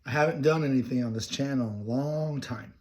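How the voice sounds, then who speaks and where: A young man with a hoarse voice from laryngitis, talking casually and reflectively to the camera, rambling and correcting himself as he goes. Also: mid-range voice, fairly quick, a little mellow. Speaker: counseling student. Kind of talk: casual personal talk to camera.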